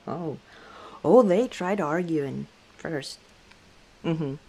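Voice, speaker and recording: A woman playing an easygoing, teasing female orc tavern owner in an unhurried ASMR roleplay. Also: low voice, dark tone, slightly rough, heavily acted. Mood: chatty, confiding. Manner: unhurried, playful teasing with frequent chuckles. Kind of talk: ASMR roleplay, one side of a conversation.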